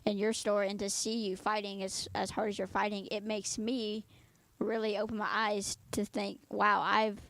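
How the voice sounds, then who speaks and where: A woman's voice: mid-range voice, little pitch movement, normal speed, fairly bright tone, no echo. A woman in her twenties interviewing a guest in a friendly, chatty way, rambling a little. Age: 20s. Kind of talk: casual interview.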